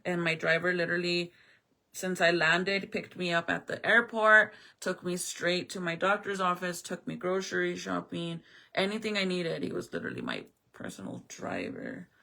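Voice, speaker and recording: A woman's voice: on the low side, sing-song, moderate pace, gravelly, pushed and bright. A woman streamer in casual chat with her viewers, blunt and chatty, swearing freely, whose first language is Spanish. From American Southwest. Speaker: live streamer.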